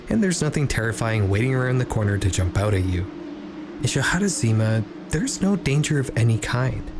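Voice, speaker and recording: A man reading scripted video essay narration in a measured, storytelling manner. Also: lowish voice. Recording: clearly noisy ambient background.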